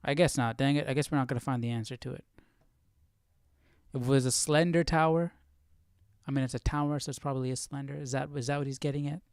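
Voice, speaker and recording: A man's voice: mid-range voice, steady tone, fast talker, darkish tone, clean tone, dry recording, clean studio sound. A man hosting a live stream with casual, informal commentary, musing aloud and repeating phrases as he thinks. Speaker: host of a live Bible study stream.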